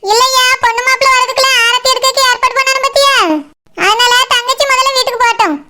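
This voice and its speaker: A very high, squeaky cartoon village mother, acted by a woman, rattling along at a quick pace. She is good-natured and chatty, forever organizing the family in a Kanyakumari Tamil accent. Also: little pitch movement, background noise. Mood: busy, instructing. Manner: chatty, instructing. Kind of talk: village cartoon drama acting.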